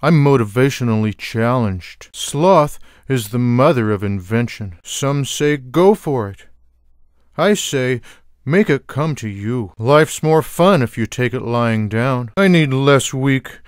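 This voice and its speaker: Lazy sarcastic cartoon house cat, deadpan drawl, wry game one-liners, really dry close sound. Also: male voice, medium pitch, slow pace, smooth, no room sound. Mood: smug, lazy.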